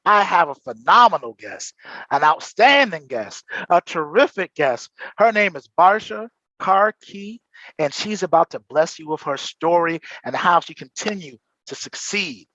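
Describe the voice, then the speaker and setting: A man's voice: sits high, lively intonation, measured pace, pushed and bright, dry recording. A man hosting an interview, effusive and full of praise for his guest. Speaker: host. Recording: dry, dull lo-fi sound.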